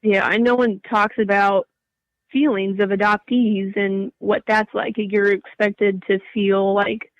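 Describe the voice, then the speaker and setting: A woman's voice: medium pitch, little pitch movement, measured pace, no echo, dull audio. A young adult woman telling her personal story casually as an interview guest.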